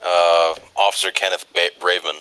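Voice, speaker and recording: A man with a deep and very sharp, forceful voice improvises as a tabletop game player. He speaks haltingly, hesitating as he goes through an in-character call. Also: level tone, low-quality recording.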